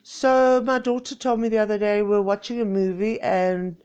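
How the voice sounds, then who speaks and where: A woman's voice: medium pitch, fairly even intonation, moderate pace, a little mellow, clear, resonant tone, muffled recording. A woman in her sixties with a Greek South African accent, chatting playfully and mocking herself on a home live stream. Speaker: vlogger. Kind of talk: casual live-stream chat.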